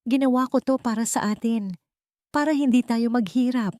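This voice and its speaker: A woman's voice: fairly high pitch, moderate pace, a little mellow, dry recording, studio quality, voice pushed up, lightly acted. A woman voicing an arrogant, greedy husband in an audiobook drama, cornered and desperate. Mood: angry, defiant. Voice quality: hoarse, cracking when pleading. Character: greedy abusive husband, shouting then desperately pleading, cornered.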